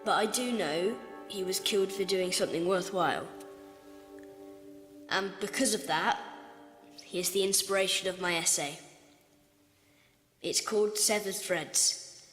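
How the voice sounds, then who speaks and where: A woman's voice: mid-range voice, measured pace, pauses often, fairly bright tone, big-room sound, close to an everyday voice. Woman playing an earnest British schoolboy, music behind. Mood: solemn, earnest. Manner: insistent, questioning. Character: earnest, probing young British schoolboy mourning his father. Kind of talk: radio drama acting.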